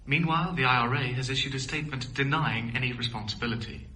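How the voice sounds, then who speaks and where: An actor playing a formal radio newsreader delivering a bulletin within a radio drama. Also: male voice, medium pitch, fast, sharp tone, slight background noise, close to an everyday voice. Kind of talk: news reading.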